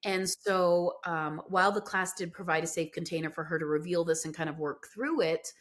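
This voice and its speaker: A middle-aged American woman with a somewhat low voice tells her life story on a podcast. She is earnest and reflective, trailing into fillers as she thinks. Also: fairly even intonation, normal speed. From United States. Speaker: podcast guest. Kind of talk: candid conversational storytelling.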